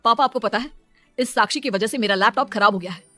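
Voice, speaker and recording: A woman's voice: on the high side, quick pace, bright, forceful tone, no echo, soft background music. A woman voicing an irritable young husband in a cartoon moral story, exasperated, blaming and sarcastic.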